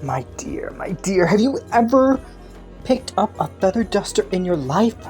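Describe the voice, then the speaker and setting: A woman voicing a kind, playful young prince in an intimate roleplay drama, speaking politely with hesitant rambles and gentle teasing. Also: mid-range voice, sing-song, moderate pace, lightly acted. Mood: amused, teasing. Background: faint music.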